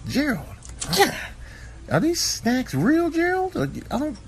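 A man's voice: very high-pitched, wildly swooping pitch, slow pace, noisy background, full character voice. A man voicing a cocky, streetwise, easily riled animal, griping in slang for a comic animal voice-over. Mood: irritated, suspicious.

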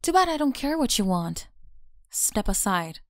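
A woman's voice: on the high side, big pitch swings, a little slow, dry recording, clean studio sound. A young woman with an American accent playing a mean, teasing college bully in an ASMR audio roleplay, mocking and slangy. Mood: mocking, feisty. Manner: mocking, sighing, casual slangy talk. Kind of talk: ASMR audio roleplay, one-sided dialogue.

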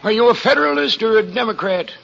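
An actor playing an opinionated, excitable, suspicious village man, speaking emphatically in 1940s radio drama style. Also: sits high, fast talker, gravelly, exaggerated voice. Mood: accusing, scornful. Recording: very noisy, muffled 1940s radio recording.

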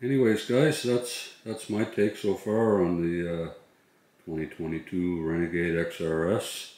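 A man's voice: deep voice, steady tone, a little slow, a little mellow, reverberant. A middle-aged man chatting casually to viewers as a vlog host, in an informal, hesitant way. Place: inside a moving truck and a garage.

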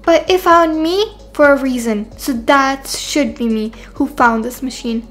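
A woman voicing an excitable, self-assured young girl in a game roleplay, chatting and thinking aloud dramatically. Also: high-pitched, unhurried. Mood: smug. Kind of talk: voiced video game roleplay.

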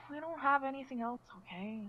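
A woman's voice: high voice, moderate pace, dull audio, a little hiss or hum, natural voice. A woman playing a feisty, defensive young survivor in an amateur game roleplay, speaking casually. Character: feisty, short young female survivor who cooks. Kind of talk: amateur Minecraft roleplay voice acting.

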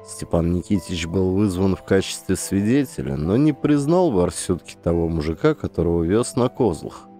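Guy narrating a story audiobook, very low mellow voice, soft music under it. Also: lively intonation, moderate pace, few pauses. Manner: earnest, warm direct appeal to listeners. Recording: faint background music.

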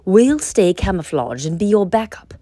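Storybook cow lookout, female reader, bossy, watchful, urgent orders, animated intonation. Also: mid-range voice, moderate pace, no room sound, clean studio sound. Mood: directing. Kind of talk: children's storybook character voice.

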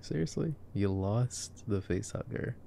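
A man giving casual, hushed ASMR movie commentary as a boyfriend roleplay, sometimes dropping to a whisper. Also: on the low side, expressive, measured pace, creaky, a little hiss or hum. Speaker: ASMR voice actor.

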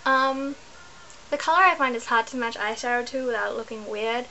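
A woman's voice: fairly high pitch, moderate pace, sharp tone, a little hiss or hum, low-quality recording. Chatty young woman on a laptop webcam. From New Zealand. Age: young adult. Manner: chatty, rambling, self-correcting. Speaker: vlogger. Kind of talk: casual chat to camera. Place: small bedroom indoors.

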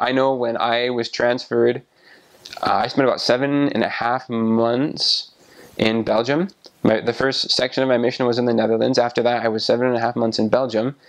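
A man in his twenties talking casually to the camera about his missionary experience, relaxed and often restarting his sentences, recorded on a lapel mic in a small room. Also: mid-range voice. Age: 20s. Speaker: returned missionary. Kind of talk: casual explanatory talk to camera. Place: small indoor room by a window.

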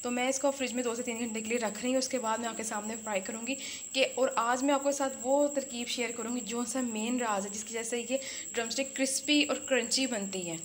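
A woman's voice: on the high side, steady tone, a little fast, slight background noise. A woman hosting a casual home cooking tutorial, chatting as she works and saying a blessing before each step. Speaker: cooking channel host. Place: home kitchen.

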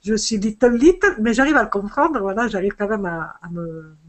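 A woman's voice: mid-range voice, expressive, normal speed. Hesitant woman on a video call. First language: Italian. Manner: hesitant, many fillers and restarts. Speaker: fashion designer guest. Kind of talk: casual video call chat. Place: home room, indoors. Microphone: earphone headset on video call.

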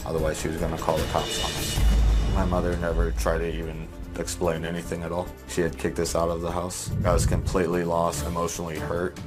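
A man in his thirties recounting a painful family falling-out in a somber testimony. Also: low-pitched. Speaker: talk show guest. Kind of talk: recorded personal testimony. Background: music.

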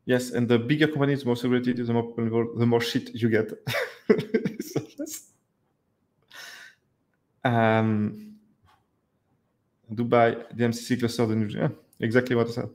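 A man's voice: medium pitch, flat delivery, normal speed, pauses often, a little mellow. A man in his thirties hosting a live webcam Q&A, talking casually with many fillers and restarts, recorded in a dim indoor room. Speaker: livestream host answering viewer questions. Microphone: headset or desk mic, webcam framing.

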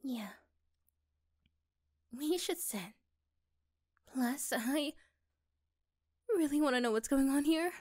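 Woman acting a slow, high-voiced gentle girlfriend. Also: lively intonation. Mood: gentle, apologetic. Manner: gentle, hesitant, halting. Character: affectionate, anxious, curious girlfriend. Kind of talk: ASMR roleplay acting.

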